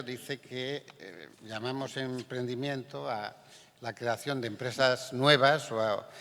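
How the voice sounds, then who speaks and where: Man from Spain, mid-pitched voice, measured moderator, reverb of a big hall. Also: fairly bright tone. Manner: measured, wry, with some hesitations. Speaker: panel moderator. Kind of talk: formal moderating and introductions. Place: large theater stage. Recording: long hall reverb from a theater.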